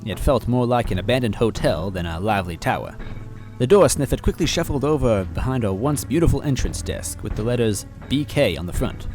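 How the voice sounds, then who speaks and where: A man narrating a story in first person in a wry, measured way. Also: mid-range voice, expressive, darkish tone, soft background music. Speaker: story reader.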